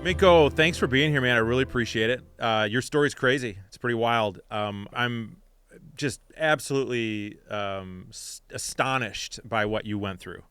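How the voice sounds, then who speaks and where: A man's voice: medium pitch, moderate pace, fairly bright tone, clear, resonant tone, no echo, soft background music. A man hosting an interview, enthusiastic and a bit hesitant with his questions. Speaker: host. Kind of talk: casual interview.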